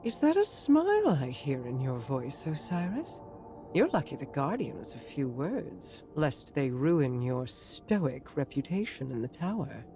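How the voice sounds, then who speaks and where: A woman's voice: extremely low pitch, very animated, a little slow, mellow, soft background music, exaggerated voice. A woman playing a regal, enigmatic immortal queen in a video game, composed and formal in her delivery. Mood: dryly teasing.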